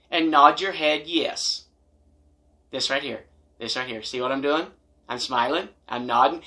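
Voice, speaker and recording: An American man with a very bright, forceful voice hosts a humorous storytime vlog. He talks straight to his audience in a blunt, folksy manner and leans hard on his points. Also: high-pitched. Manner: folksy, blunt, emphatic, animated. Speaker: video host telling stories and advice. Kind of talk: humorous storytime monologue to camera.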